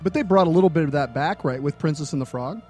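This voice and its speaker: A man's voice: on the high side, quick pace, dark tone, soft background music. A man in his forties hosting a podcast, friendly and enthusiastic in casual chat. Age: 40s. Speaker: podcast host.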